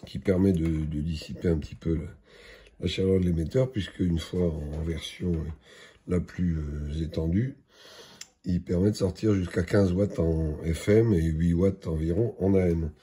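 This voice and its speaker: Male product presenter, very low dark voice, unscripted and hesitant, background noise. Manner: hesitant, halting, matter-of-fact.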